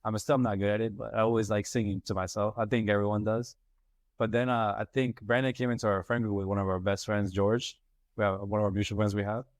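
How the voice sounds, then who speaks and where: A man's voice: lowish voice, little pitch movement, quick pace, dry recording. A young man, a rapper guest, telling stories in loose casual chat full of slang. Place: studio room.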